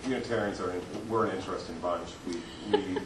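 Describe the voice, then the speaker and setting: A man's voice: deep voice, fairly even intonation, fast. A man, a teacher, telling rambling anecdotes in a casual group discussion. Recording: echoey room from far off, noisy.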